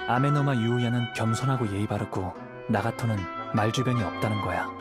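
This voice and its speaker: A young wandering samurai from a video game, played by a man. His mid-pitched voice is composed and measured, reasoning carefully. Music plays clearly behind him. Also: close to an everyday voice. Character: calm, thoughtful young wandering samurai investigator.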